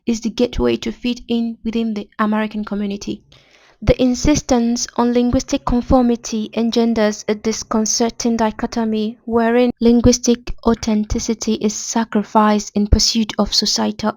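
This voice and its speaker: A woman's voice: on the high side, dry recording. Nigerian woman reading a formal essay. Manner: formal, academic wording, measured reading. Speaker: podcast host. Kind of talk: reading a prepared literary essay.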